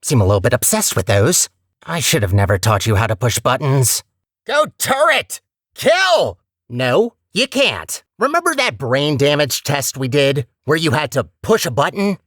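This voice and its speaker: A scheming robot core from a video game, with a mid-pitched voice that leaps up and down constantly. He is petty and talkative, theatrical in his sarcasm, and the recording is completely dry. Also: sing-song, normal speed, fairly bright tone, no room sound. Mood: petty, sneering. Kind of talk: video game character acting.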